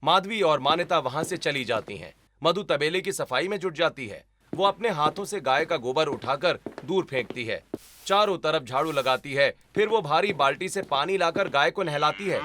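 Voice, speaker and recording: A man's voice: on the high side, lively intonation, normal speed, bright, forceful tone, slight background noise. A man giving scripted, dramatic narration of a story as its narrator.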